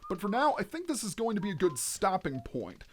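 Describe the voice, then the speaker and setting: A man's voice: sits high, huge pitch swings, brisk. A man giving video game let's play commentary in a theatrical, explanatory manner as the host. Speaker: let's player, host of the series.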